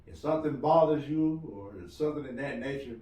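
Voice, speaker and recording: A married man co-hosting a casual podcast chat, loud-voiced, joking and rambling as he talks. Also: medium pitch, fairly quick. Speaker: podcast co-host. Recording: roomy room, mic at a distance.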